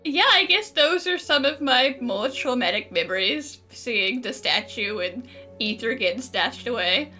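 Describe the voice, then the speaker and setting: A woman's voice: on the high side, animated, unhurried, bright, forceful tone, soft background music. A woman giving casual commentary on a game playthrough, with joking, sarcastic asides. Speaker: gaming streamer.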